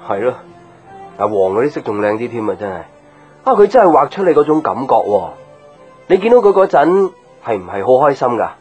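An actor playing an easygoing, chatty young man in a radio drama, casual and expressive. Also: medium pitch, brisk, lo-fi sound, close to an everyday voice. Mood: admiring. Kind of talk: Cantonese radio drama dialogue. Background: background music.

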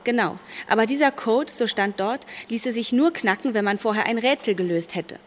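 Actress as granddaughter revealing a family secret. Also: female voice, on the high side, moderate pace, natural voice. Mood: intent, explaining. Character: granddaughter uncovering her grandmother's wartime secret. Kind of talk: radio drama dialogue.

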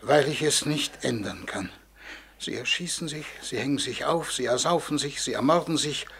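An actor playing a helpless, forgotten old God, plaintive and lamenting, in a 1940s German radio play. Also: male voice, medium pitch, normal speed, gravelly, a bit bright, a little hiss or hum. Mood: despairing. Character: weeping, helpless old God nobody believes in.

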